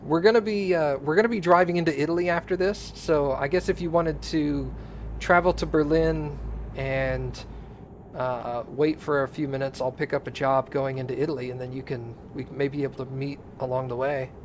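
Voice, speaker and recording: A man's voice: fairly high pitch, moderate pace, slight background noise. A man hosting a let's play stream, chatting casually with friends. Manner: relaxed, chatty host. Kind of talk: casual game chat.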